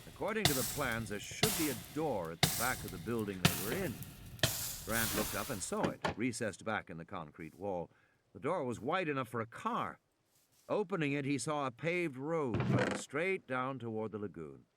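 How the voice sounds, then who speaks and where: A man reading a suspense novel as a narrator, with measured, enunciated dramatic delivery. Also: mid-range voice, very animated, loud music behind the voice. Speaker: audiobook narrator.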